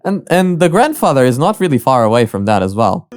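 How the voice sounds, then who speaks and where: A man hosts a reaction video in a casual, halting way. His voice is clear and sits at a middle pitch. The recording is dry, with very little room sound. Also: sing-song, no room sound. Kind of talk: casual commentary on a cartoon parody.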